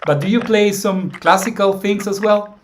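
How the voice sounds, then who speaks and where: Interview host, man, slightly high voice, halting restarts, faint noise behind. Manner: halting, repeats words while framing questions. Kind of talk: casual interview chat.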